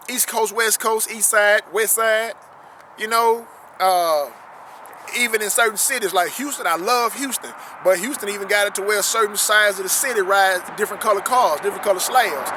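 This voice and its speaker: A man reading a text aloud on a riverbank and commenting on it, earnestly repeating key phrases for emphasis. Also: high voice. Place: outdoors on a riverbank. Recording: loud outdoor noise with passing traffic.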